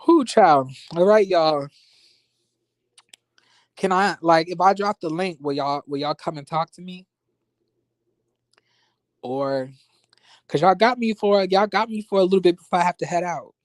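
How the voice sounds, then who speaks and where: A man with an American accent chatting casually as a livestream host, in a sassy, expressive, slangy conversational way. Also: sits high, measured pace, pauses often, no room sound. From United States, born in rural Florida and raised in Las Vegas. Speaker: YouTube reviewer and livestream host. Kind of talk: casual livestream chat with viewers.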